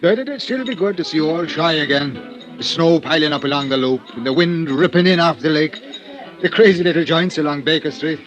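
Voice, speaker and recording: An actor playing a wistful, hesitant middle-aged ex-pilot in a 1950s radio drama. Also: male voice, a little high, animated, brisk, close to an everyday voice. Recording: dull old radio recording with music.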